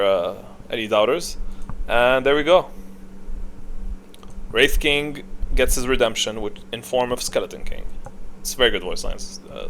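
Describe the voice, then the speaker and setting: A man's voice: medium pitch, fairly bright tone, slight background noise. A man giving casual off-the-cuff gaming commentary, thinking aloud, hesitating and backtracking as he ranks things. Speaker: gaming video creator ranking voice lines.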